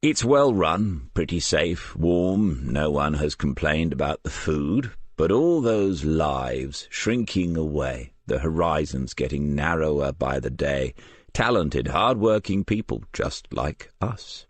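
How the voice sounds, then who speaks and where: A man's voice: a bass voice, expressive, takes it slowly, no room sound, heavily acted. A man reading the part of a seasoned, reflective police detective in an audiobook, understated and dryly ironic. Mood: wry, troubled.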